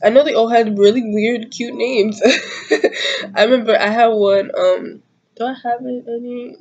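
A woman's voice: fairly high pitch, fairly even intonation, unhurried, darkish tone, muffled recording. Giggly congested young woman on webcam. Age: 20s. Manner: chatty, giggly, slangy and rambling. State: congested from a cold. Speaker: YouTuber doing a tag video. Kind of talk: casual chat to camera. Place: small room at home, indoors.

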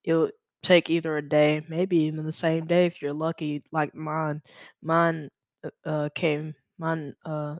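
A young man talks through a software tutorial at a slow pace. His delivery is informal and halting, as he thinks aloud, and the sound is dry and close, with no room echo. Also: a little high, monotone. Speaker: tutorial presenter. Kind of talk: casual screen-recorded software tutorial.

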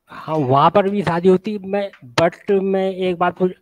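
A man's voice: high voice, steady tone, no room sound, muffled recording. Hesitant man with a sore throat. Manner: halting, repeats and restarts while arguing. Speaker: caller in group debate. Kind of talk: casual group video call debate. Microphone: video call.

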